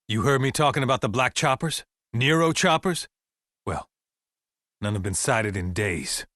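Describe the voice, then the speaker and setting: Husky, animated American militia demagogue. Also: male voice, lowish voice, dry recording, studio quality, exaggerated voice. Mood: ominous. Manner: preachy, emphatic, deliberate pauses. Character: paranoid, defiant male American militia demagogue, folksy yet menacing. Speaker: militia leader broadcasting to survivors. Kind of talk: dramatic radio monologue, game voice acting.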